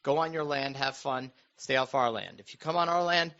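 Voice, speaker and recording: A man's voice: normal speed, sharp tone. A man chatting casually about politics in an earnest, explanatory manner, repeating himself for emphasis. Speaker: radio show host and school board member. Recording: dull, muffled low-fidelity audio.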